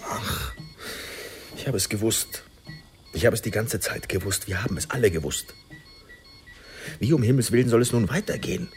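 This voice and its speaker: A man's voice: on the low side, expressive, normal speed, long pauses, soft background music. An actor playing a troubled, skeptical expectant father in a German radio drama, speaking in a weighty, hesitant manner. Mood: distraught.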